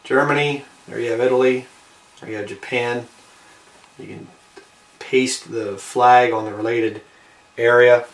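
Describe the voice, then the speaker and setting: A man giving a casual show-and-tell narration, unhurried and halting, often trailing off between short phrases. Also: mid-range voice, fairly even intonation. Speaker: amateur video maker showing a collectible. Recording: roomy, distant mic with faint background noise.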